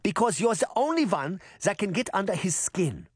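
A man's voice: high voice, very animated, normal speed, slightly rough, dry recording, clean studio sound, voice pushed up, exaggerated voice. A man voicing a firm, exasperated elderly grandmother in a children's fantasy audiobook, scolding and imploring. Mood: reproachful.

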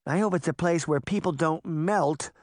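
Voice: medium pitch, moderate pace, darkish tone, no room sound, studio quality, voice pushed up, close to an everyday voice. A narrator voicing a frightened, stubborn little girl who refuses to hurt anyone. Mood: grumbling. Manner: pleading, upset. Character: small frightened girl, soft-hearted and stubborn.

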